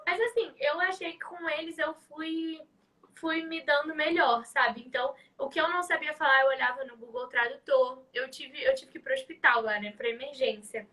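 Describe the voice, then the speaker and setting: A woman's voice: high voice, moderate pace, bright, forceful tone, recorded from a distance. A young woman with a Carioca accent chatting casually on a live stream, full of fillers. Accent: Carioca Portuguese. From Rio de Janeiro, Brazil. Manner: chatty, many fillers like "tipo". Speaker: live guest.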